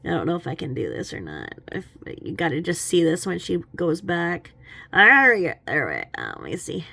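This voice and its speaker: A woman's voice: fairly low pitch, huge pitch swings, moderate pace, creaky, pushed and bright, husky, dry recording. A woman giving casual, mocking reaction commentary in a snarky, rambling, chuckling way. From lives in a small religious community. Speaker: commentary vlogger.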